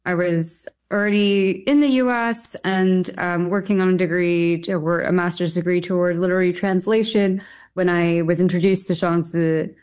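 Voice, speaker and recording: A woman from Taiwan speaks as a translator in an interview. Her voice is smooth and clear, pitched a little low. She answers in a conversational way. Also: fairly even intonation, moderate pace, darkish tone. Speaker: literary translator guest.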